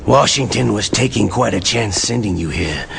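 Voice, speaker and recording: A man's voice: fairly low pitch, animated, normal speed, creaky, noisy background. A man playing a sadistic, sly interrogator in a video game, mocking and drawling with menace. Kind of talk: dramatic video game acting.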